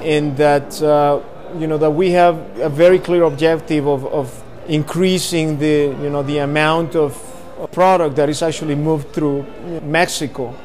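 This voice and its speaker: A man's voice: a little high, steady tone, a little slow, hardly any pauses, a little mellow. A man being interviewed as a marketing director, earnest and hesitant with many fillers, on a lapel mic. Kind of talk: on-camera interview.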